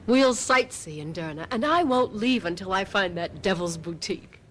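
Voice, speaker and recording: Actress playing a vain, eager, very animated woman. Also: medium pitch, moderate pace, close to an everyday voice. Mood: excited, determined. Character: vain, determined mother, eager for exclusive finds. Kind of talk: radio mystery drama acting. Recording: music behind a radio drama.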